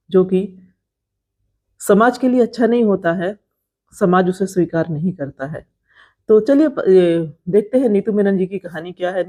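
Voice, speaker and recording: A woman's voice: mid-range voice, mellow. A woman with an Indian accent giving informal vlog commentary on creator gossip, explaining things conversationally to viewers and repeating phrases for emphasis. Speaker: vlogger commenting on creator drama.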